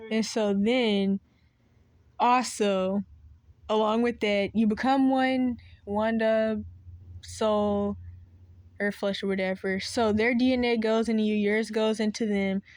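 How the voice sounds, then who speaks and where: A woman's voice: mid-range voice, fairly even intonation, slow, darkish tone, no room sound. A woman talking straight to camera in a meandering, unscripted monologue, often losing her train of thought and starting over, her voice cracking. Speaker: video creator.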